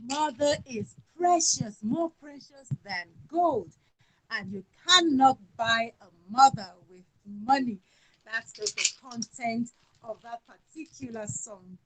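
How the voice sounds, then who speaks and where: A Nigerian woman with a Yoruba accent, presenting her country's culture and songs in an unhurried talk full of fillers. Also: a little high, very noisy. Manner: sings folk songs, speaks slowly and warmly with fillers.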